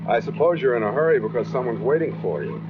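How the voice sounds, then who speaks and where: A man's voice: medium pitch, sing-song, fast, over music, natural voice. A man acting in a 1940s radio drama as a suspicious, coolly controlling American stranger with clipped, insistent speech. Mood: smoothly insistent. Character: menacing, suspicious man hiding behind smooth courtesy. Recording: dull noisy vintage 1940s radio recording.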